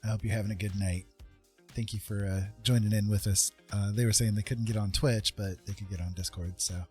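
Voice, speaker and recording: A man in his fifties chatting casually on a livestream while drawing, in a friendly, rambling way, thinking out loud. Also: lowish voice, fairly even intonation, a little fast, soft background music. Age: 50s. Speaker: art livestream host.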